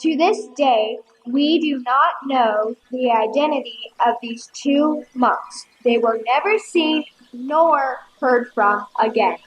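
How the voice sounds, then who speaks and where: A woman giving measured, scripted narration for an audio play. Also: high voice, faint music behind, low-quality recording.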